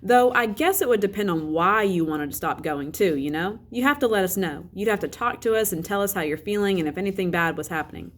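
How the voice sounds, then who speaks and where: A woman voicing a gentle, affectionate, softly coaxing father in a children's storybook. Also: medium pitch, a little fast, few pauses, close to an everyday voice. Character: gentle, affectionate, teasing father of a young boy.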